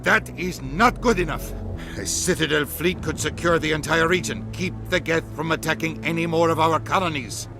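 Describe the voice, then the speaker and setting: An actor playing a blunt, impatient human ambassador in a video game, with a sardonic manner. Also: male voice, fairly high pitch, moderate pace, natural voice. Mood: indignant. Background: music.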